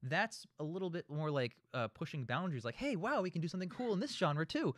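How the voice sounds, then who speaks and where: A man's voice: on the high side, expressive, quick pace, a bit bright, dry recording, studio quality. A man co-hosting a casual, opinionated discussion, arguing his points and swearing freely. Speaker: podcast co-host.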